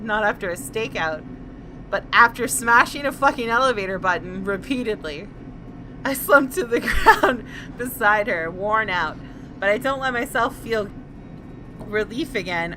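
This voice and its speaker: A woman's voice: medium pitch, background noise. A woman hosting a gaming video, reading visual novel narration aloud with dramatic expression.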